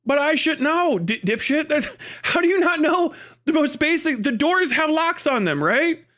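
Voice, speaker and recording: Male streamer, high register, very lively intonation. Blunt, exasperated, unscripted ranting commentary. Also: moderate pace. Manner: exasperated, incredulous, often laughing mid-sentence; sweary, blunt. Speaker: live streamer.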